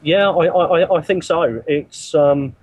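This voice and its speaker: Halting, self-repeating man. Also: a little high, a little mellow, husky, no room sound. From not from the country he works in. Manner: halting, repeats words often. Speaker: interview guest, mission builder. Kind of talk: remote interview answers.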